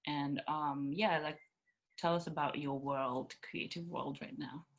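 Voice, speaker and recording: A woman hosts a relaxed podcast chat with a guest, asking loose, informal questions and laughing easily. Her voice sits somewhat low for a woman. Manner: chatty, informal, quick to laugh. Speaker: podcast host. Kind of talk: casual interview chat.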